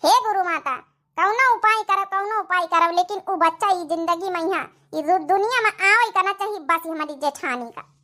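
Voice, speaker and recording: A woman's voice: very high-pitched, moderate pace, bright, forceful tone. A woman voicing a sneaky young village sister-in-law with an Awadhi accent, wheedling and chattering in a comedy cartoon. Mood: pleading, scheming.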